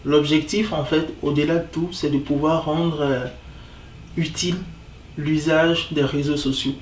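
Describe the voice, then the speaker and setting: Young man, association president from Côte d'Ivoire, a little higher-voiced, earnest and hesitant, heard in an echoey room from some distance. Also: level tone, slight background noise. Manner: earnest, halting with many fillers. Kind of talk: interview answers.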